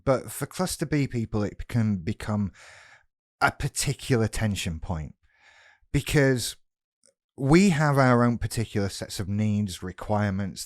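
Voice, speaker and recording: A British man with a head cold and a rough voice, talking frankly and bluntly in a casual monologue about mental health, with some swearing. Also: fairly low pitch, no room sound, clean studio sound. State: has a cold, sounds rough.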